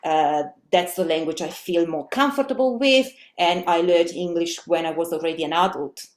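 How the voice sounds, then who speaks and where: A middle-aged woman whose first language is Italian speaks English with an Italian accent in a somewhat low voice. She gives a webinar presentation, thinking aloud, with frequent ums and restarts. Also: slight background noise. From Italy. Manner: halting, many fillers and restarts.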